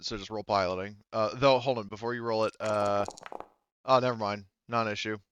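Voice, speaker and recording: A man's voice: fairly low pitch, fairly even intonation, a little fast, fairly bright tone, muffled recording, a little hiss or hum. A man running a tabletop game as game master, explaining the rules in a hesitant, backtracking way.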